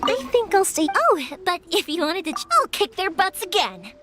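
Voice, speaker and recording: A woman voicing a cheerful, sincere, big-sisterly young firework maker in a video game, bubbly and expressive. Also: very high voice, normal speed, heavily acted. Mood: playful. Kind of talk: video game character voice acting. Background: faint music.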